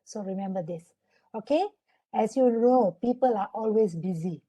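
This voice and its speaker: Malaysian woman trainer on a webinar call, warm mellow tone, instructive, keeps checking in with the audience. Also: medium pitch, normal speed. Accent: Malaysian English. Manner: instructive, often asks for agreement. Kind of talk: online webinar lecture.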